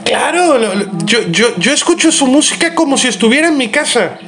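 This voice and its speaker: A man playing a prissy, indignant neighbour on a prank call, halting and stiffly formal. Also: extremely high pitch, expressive, fairly bright tone, full character voice. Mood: flustered complaint. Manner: halting, repetitive, stilted formality. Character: prissy, indignant, fussy neighbour complaining by phone. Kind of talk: improvised prank phone call.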